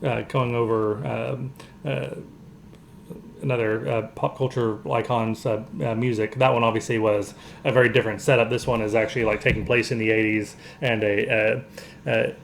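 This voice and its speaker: A man with a creaky, mid-pitched voice talks as co-host of a casual movie discussion. He feels his way through explanations with halting phrasing. A faint noise sits behind his voice. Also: flat delivery. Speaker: podcast co-host.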